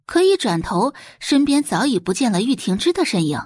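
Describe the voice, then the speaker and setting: A woman's voice: fairly high pitch, very animated, brisk, a little mellow, husky, dry recording, studio quality. A woman narrating a scripted audio drama in a dramatic storytelling style.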